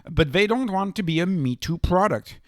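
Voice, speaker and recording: A man's voice: sits high, expressive, moderate pace, no echo, studio quality. A man hosting an investing channel, giving informal financial commentary in an emphatic way, repeating key phrases for stress. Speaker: host of a stock investing channel.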